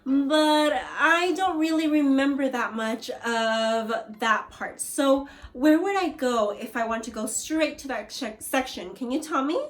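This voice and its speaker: Slow, higher-voiced woman teaching young children. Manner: encouraging, instructive, addressing pupils directly. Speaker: elementary school teacher. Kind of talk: read-aloud lesson for young children, asking questions and pausing.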